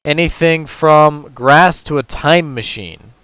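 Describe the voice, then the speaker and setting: A man with a hoarse, tired voice, casually talking through a puzzle game move by move as a gaming channel host. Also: a little high, takes it slowly, dry recording. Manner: relaxed, talks through moves step by step. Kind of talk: casual gameplay commentary.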